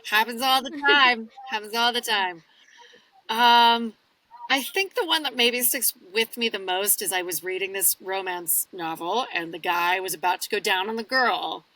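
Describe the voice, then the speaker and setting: A woman chatting casually as an interview guest, telling stories in an animated way and acting out her own remarks. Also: medium pitch, moderate pace, no echo, low-quality recording. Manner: animated, self-quoting storytelling. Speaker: guest audiobook narrator. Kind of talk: casual podcast interview chat.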